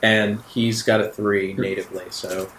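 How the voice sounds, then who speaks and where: A man's voice: lowish voice, flat delivery, moderate pace, fairly bright tone, a little hiss or hum. A man running a tabletop roleplaying game, improvising narration in a conversational, amused way. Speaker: game master. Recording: roomy echo with faint music. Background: faint music.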